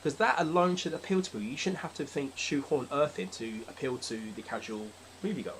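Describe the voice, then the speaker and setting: A man's voice: mid-range voice, fairly quick, a bit bright. A man speaking as a podcast guest in casual, opinionated chat, exasperated and sweary. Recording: clear background noise.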